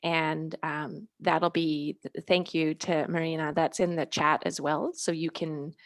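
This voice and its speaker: A woman presents informally in a low voice with plenty of vocal fry. She speaks haltingly, and the sound is close and dry. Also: flat delivery, no room sound. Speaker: presenter, ocean education coordinator. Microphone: video call.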